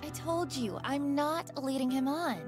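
A cool young office temp played by a woman in a visual novel. Her high voice is curt and sharp-tongued, with varied pitch and music behind it. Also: lively intonation, a little slow. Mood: irritated. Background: game music.

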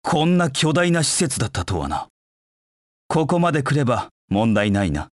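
An actor voicing a proud, cold dark knight in a video game, with curt, haughty, disdainful delivery. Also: male voice, mid-range voice, big pitch swings, normal speed, no room sound, clean studio sound, natural voice. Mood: cool, disdainful. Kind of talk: video game voice acting in short battle lines.

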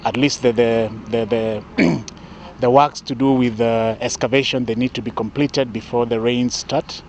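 A middle-aged Kenyan man speaks outdoors with clear site and vehicle noise around him. His voice sits at an average pitch, and he delivers an official statement in a formal, measured and halting way. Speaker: airport authority official giving a press statement. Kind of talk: off-the-cuff media briefing. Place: outdoors at an open construction site.

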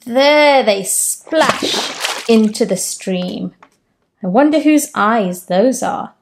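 Lady in her 30s reading a kids' picture book out loud, slow and sing-songy, kinda high voice. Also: noisy background. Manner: gentle, expressive storytelling with raised-pitch character quotes. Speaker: storytime host. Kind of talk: children's picture book read-aloud. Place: home room with bookshelves, indoors.

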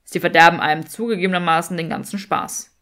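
A woman's voice: fairly low pitch, fairly even intonation, quick pace, fairly bright tone, voice pushed down, close to an everyday voice. A woman voicing a sardonic, vain young male wizard in an audiobook, with a dry, snooty manner. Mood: amused, snooty.

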